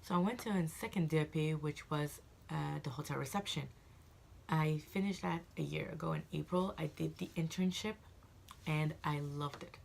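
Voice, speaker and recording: A woman's voice: low voice, normal speed, vocal fry, a little hiss or hum. A woman in her twenties from Egypt, chatting casually to camera as a vlogger, rambling with frequent fillers and restarts.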